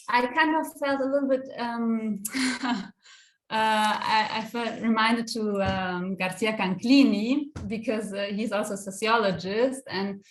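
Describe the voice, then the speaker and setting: A woman from Europe speaking as a conference panelist in a spontaneous academic discussion, halting and searching for words. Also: on the high side, fairly bright tone, slight background noise. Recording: echoey room sound.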